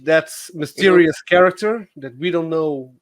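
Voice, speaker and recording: A man with a slightly high voice hosts a livestream. His style is casual, conversational and informal. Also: a little slow. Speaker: host.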